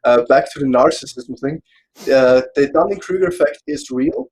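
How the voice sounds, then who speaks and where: A man from Austria with an Austrian German accent, German his first language, explaining a psychology topic in a casual online panel, pausing often to search for words. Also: mid-range voice, a little mellow, slight background noise, poor audio. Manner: hesitant, searching for words, checks notes.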